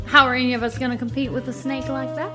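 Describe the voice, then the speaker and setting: A woman's voice: sits high, natural voice. A woman playing a gossipy, jaded older diner waitress with a sassy manner in an audio drama. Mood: gossipy, amused. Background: music.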